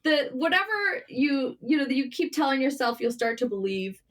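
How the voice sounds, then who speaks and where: A woman speaking as a writer guest in a casual, candid interview, informal and animated in manner. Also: high voice, moderate pace. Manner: animated, informal, swearing, self-deprecating.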